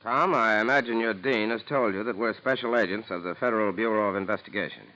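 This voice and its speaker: Fast, sharp FBI agent. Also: male voice, on the low side. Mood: assured. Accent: American. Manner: formal, clipped, businesslike. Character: brisk, businesslike male FBI special agent. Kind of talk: 1940s radio acting. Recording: dull 1940s radio recording.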